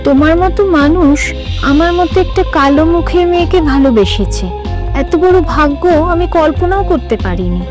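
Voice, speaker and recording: Woman voicing a smitten, high-voiced young woman. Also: animated, moderate pace, music in the background. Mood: adoring. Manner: pleading, emotional. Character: eager, smitten, self-deprecating young woman in love. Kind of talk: acted dialogue in a storybook reading.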